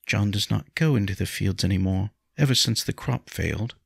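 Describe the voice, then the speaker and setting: A man's voice: lowish voice, moderate pace, vocal fry, fairly bright tone, slightly rough, dry recording, studio quality, voice pushed up, exaggerated voice. A man voicing a short, chubby serf woman from an audiobook, bitter, scornful and defiant, in a mousy voice. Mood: bitter, flat.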